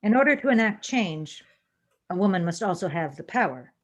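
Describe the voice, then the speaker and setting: Woman playing a coolly logical alien officer. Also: medium pitch. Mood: stern, composed. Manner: formal, measured, deadpan, precise. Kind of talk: fan audio drama acting.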